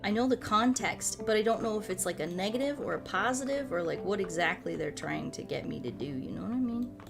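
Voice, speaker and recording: A woman in her thirties, a streamer chatting casually while she plays a puzzle game, playful and quick to poke fun at herself. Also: mid-range voice, lively intonation, gravelly, music in the background. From United States. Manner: playful, self-mocking, laughs often, tries out broken Spanish and French.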